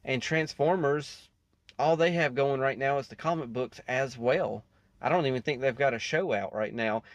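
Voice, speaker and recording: A man's voice: medium pitch, moderate pace, no room sound. A man giving casual, rambling reaction commentary as host, often chuckling between asides.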